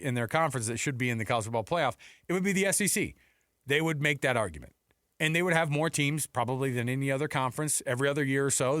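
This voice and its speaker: Animated man co-hosting sports radio. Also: medium pitch, dry recording, clean studio sound. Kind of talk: casual sports talk banter.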